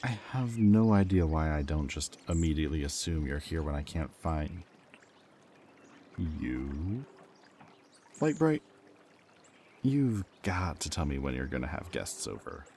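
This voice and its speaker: Man playing a very deep-voiced, wry ex-villain. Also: expressive, a little slow, long pauses, dark tone, slight background noise, exaggerated voice. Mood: warm, casual. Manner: casual, teasing, earnest. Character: wry, loyal ex-villain thief, man, friend of a hero. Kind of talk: roleplay audio drama acting, conversational.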